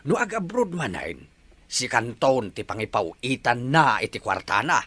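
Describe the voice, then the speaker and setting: Forceful, wildly swinging insistent father played by a man. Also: mid-range voice, sing-song, moderate pace, dry recording. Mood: firm. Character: insistent father urging his daughter to marry. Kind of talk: Ilocano audio drama acting.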